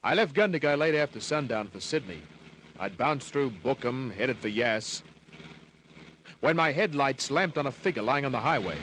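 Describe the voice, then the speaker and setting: An actor playing a wisecracking, hard-boiled private detective in an old radio crime drama, terse and wry. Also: male voice, medium pitch, lively intonation, normal speed, background noise, natural voice. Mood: world-weary, wry. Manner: terse, wry, clipped phrases. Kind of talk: 1950s radio crime drama narration and acting.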